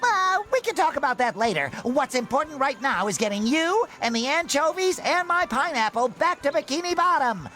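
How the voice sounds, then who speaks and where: A man voicing an eager, childlike, naive talking sea sponge in an animated game cutscene, earnest and excitable. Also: very high voice, normal speed, hardly any pauses, faint music behind, full character voice. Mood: earnest, encouraging.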